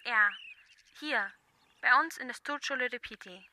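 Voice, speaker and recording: A woman's voice: on the high side, normal speed, pushed and bright. An actress playing a young girl in a radio crime drama, speaking in simple, childlike, matter-of-fact phrases.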